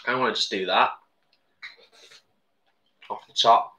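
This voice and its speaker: A man's voice: mid-range voice, unhurried, pushed and bright. A man chatting casually on a live cooking stream from his home kitchen, laughing often and reading out viewer comments.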